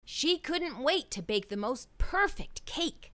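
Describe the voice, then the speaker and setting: A woman reading a children's storybook aloud as an expressive narrator. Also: high-pitched, slow pace.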